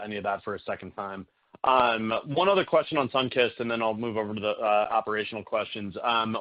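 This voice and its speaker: A man asking questions as a financial analyst on an earnings call, in hesitant, halting phrasing. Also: fairly low pitch. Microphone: conference call line. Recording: dull lo-fi call audio.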